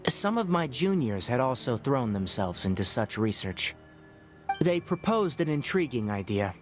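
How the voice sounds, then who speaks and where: An actor voicing a calm young scholarly male forest ranger in a video game, speaking in a measured, thoughtful, gently lecturing way. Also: mid-range voice, faint music behind, natural voice. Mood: earnest.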